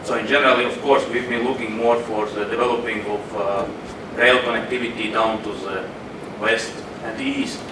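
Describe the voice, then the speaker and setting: A man's voice: fairly low pitch, steady tone, moderate pace, few pauses. A man speaks up from the floor of a conference hall, his voice heard from a distance through a roomy echo. He asks his question hesitantly, hunting for words, over a noticeable noise bed. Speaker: audience member asking a question. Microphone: room audio, away from the microphone.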